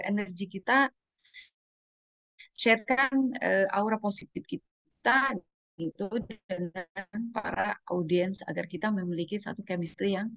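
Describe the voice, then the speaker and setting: A woman speaks as a trainer at an informal presentation. Her pitch is average for a woman and the sound is dry, with little room echo. She explains in a conversational, instructive way, often checking in with short tag questions and dropping in English words. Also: fairly bright tone.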